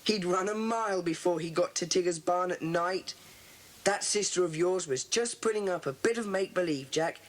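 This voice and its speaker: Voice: medium pitch, moderate pace, lightly acted. An actor playing a bossy, sceptical English schoolboy club leader, confident and dismissive, in an audio drama.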